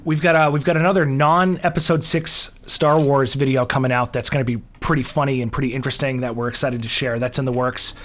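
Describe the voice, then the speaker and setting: A man's voice: medium pitch, fairly even intonation, fast, hardly any pauses, a bit bright. A man co-hosting a podcast in a casual, conversational style. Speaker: podcast co-host.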